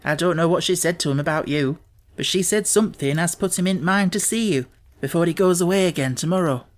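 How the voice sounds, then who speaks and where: A man's voice: on the high side, a little fast, natural voice. A man voicing a cheerful, plain-spoken young Yorkshire housemaid in an audiobook, chatting in broad, homely dialect. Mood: explaining, earnest.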